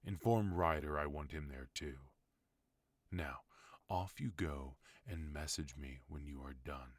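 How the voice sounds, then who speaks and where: A man playing a ruthless mafia boss husband, very deep. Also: no room sound. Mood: cold, threatening. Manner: cold, measured menace. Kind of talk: ASMR audio roleplay.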